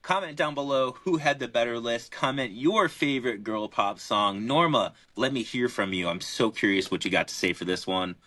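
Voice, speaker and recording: A man's voice: mid-range voice, no room sound. A young man co-hosting a casual podcast, chatting easily and drawing out his picks with fillers. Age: young adult. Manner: relaxed, drawn-out picks with fillers. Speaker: podcast co-host.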